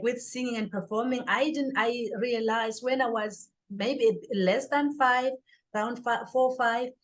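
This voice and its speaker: Her voice sits fairly high for a woman and carries a southern African accent. She is middle-aged and speaks as an interview guest, recounting personal experiences in an emphatic, conversational way. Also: low-quality recording. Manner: emphatic, acts out her inner dialogue.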